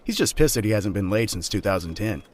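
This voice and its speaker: A man's voice: on the low side, animated, fast, a little hiss or hum, natural voice. An actor playing a laid-back, teasing young man and loyal friend in an audio drama, casual and joking. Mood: friendly. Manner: casual, joking, swears freely.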